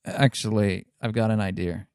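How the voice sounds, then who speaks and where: A man's voice: lowish voice, fairly even intonation, normal speed, darkish tone, smooth, dry recording. Man playing tabletop, dry close voice. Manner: thinks aloud, describes his character's actions in third person. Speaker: player in a tabletop roleplaying game. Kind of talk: casual game chat.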